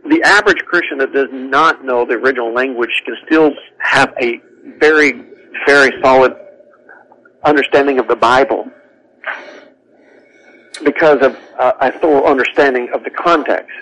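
Bright-voiced man teaching on radio. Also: mid-range voice, moderate pace, pauses often, poor audio. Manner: earnest, repeats words and restarts often, laughs easily. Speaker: Bible teacher on a radio talk show. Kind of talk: conversational teaching on a call-in show.

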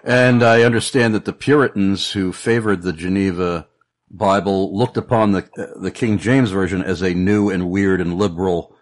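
A man co-hosting a radio talk show, reading listener questions aloud in a measured way. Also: low voice, steady tone.

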